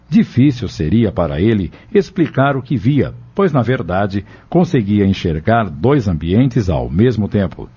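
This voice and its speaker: A man's voice: fairly low pitch, warm tone, slightly rough. A man narrating an audio novel from a script. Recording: dull lo-fi narration recording.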